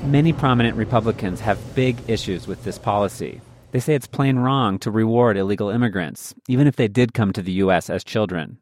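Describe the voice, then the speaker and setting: A man hosting a public radio program, reading scripted reports and interviewing guests in a measured way. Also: lowish voice, darkish tone, slight background noise. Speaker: radio host. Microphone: studio microphone.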